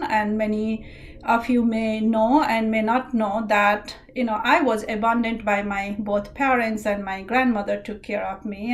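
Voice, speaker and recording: A middle-aged woman from Nepal with a Nepali accent, giving an earnest, thankful founder's speech at an online gala. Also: a little high. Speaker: charity founder.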